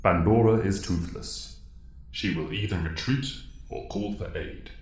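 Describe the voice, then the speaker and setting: Man playing a deep, echoing wise alien mentor. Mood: grave, firm. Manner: solemn, formal, measured. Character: wise, grave alien mentor commanding young heroes. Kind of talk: audio drama acting. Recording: echoing, reverberant voice.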